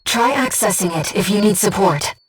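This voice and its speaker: Gentle female inner-voice companion, video game mission support, earnest, precise, medium pitch, slightly distant sound. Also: expressive, normal speed, husky. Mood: composed, focused. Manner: composed, precise, earnest delivery. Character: gentle female voice in a pilot's head, earnest, devoted. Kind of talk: video game voice acting, tactical briefing.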